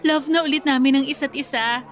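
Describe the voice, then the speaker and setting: A woman's voice: high voice, fairly even intonation, brisk, a bit bright, soft background music. A woman playing a sweetly affectionate young engaged woman in a radio drama. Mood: playful, affectionate.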